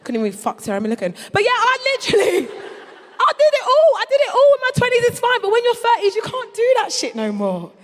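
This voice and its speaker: Brash, very high London woman comic. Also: huge pitch swings, brisk. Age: 30s. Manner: brash, boastful, crude street slang. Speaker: stand-up comedian. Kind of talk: stand-up routine. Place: large concert hall before an audience. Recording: live hall recording with long reverb.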